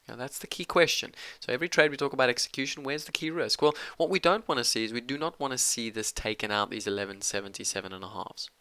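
Middle-aged male trading instructor, forceful and smooth tone, emphatic teaching delivery. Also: medium pitch, quick pace, few pauses, no echo. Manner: emphatic, punctuates points with "okay". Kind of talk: screen-share trading lesson.

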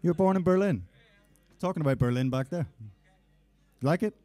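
A man's voice: fairly high pitch, moderate pace, mellow. A man in his thirties, a band frontman, joking with the audience between songs on a small club stage, speaking into a stand mic. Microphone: vocal mic on a stand.

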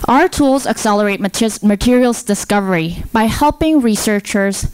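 A woman in her thirties from South Korea and the Philippines, a research scientist giving a prepared talk into a handheld microphone, explaining in a measured way with rhetorical questions. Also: a little high, darkish tone, clear, resonant tone, no echo, rough recording. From born in South Korea, grew up in the Philippines. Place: indoor stage.

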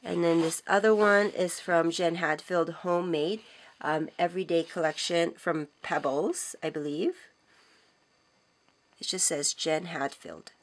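A hoarse middle-aged woman with a cold, chatting casually and enthusiastically as she shows off craft supplies, with frequent ums and self-corrections. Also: fairly low pitch, steady tone, a little slow. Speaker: craft vlogger. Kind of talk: casual show-and-tell haul. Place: indoors at a craft table.

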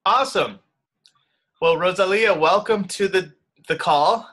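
A man's voice: high-pitched, unhurried, fairly bright tone. A man in his thirties hosting a group video call from his home office, upbeat and welcoming. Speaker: host.

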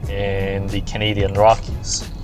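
A man's voice: on the low side, monotone, slow. A man narrating a travel vlog as a casual, laid-back voice-over. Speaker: vlog host narrating a road trip. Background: music.

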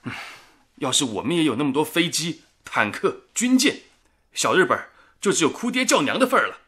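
An actor in a radio drama playing a fiery, emphatic patriotic radio worker in wartime. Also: male voice, a little high, very animated, a little fast, a bit bright, breathy edge, heavily acted. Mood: defiant. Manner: emphatic, indignant.

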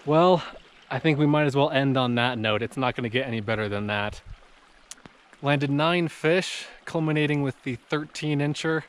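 A man in his thirties narrating his fishing trip outdoors, exclaiming whenever he hooks a fish. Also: medium pitch. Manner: excitable, exclaims at each catch. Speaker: fishing vlogger. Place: small creek in an open mountain valley outdoors. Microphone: head-mounted action camera.

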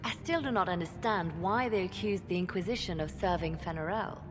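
An actress voicing a poised, calm woman spymaster and former bard in a video game, speaking in a measured, softly formal way. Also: fairly low pitch, over music, lightly acted.

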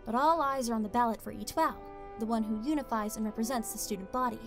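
A woman plays a composed teenage student council member in a fan dub. She explains things calmly, her voice a little high. Music plays clearly behind her. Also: quick pace, close to an everyday voice. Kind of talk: amateur anime fan dub.

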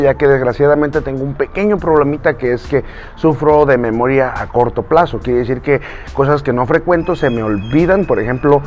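A man's voice: mid-range voice, dull audio, a little hiss or hum. A man in his thirties from Mexico with a Mexican Spanish accent, chatting to camera as a YouTuber and voice impressionist. He talks earnestly, checks his notes and gestures with his hands while he speaks. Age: 30s. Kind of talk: casual talk to camera. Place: outdoors in a recreational park, standing against a pale wall.

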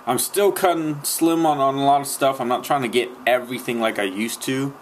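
A young man talking casually into a webcam in a small room, rambling along with plenty of ums and restarts. His voice sits at an average pitch. Faint room noise hums underneath. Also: a little fast. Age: young adult. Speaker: sneaker vlogger. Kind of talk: casual vlog talk to camera. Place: small room indoors at night. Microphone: webcam at close range.

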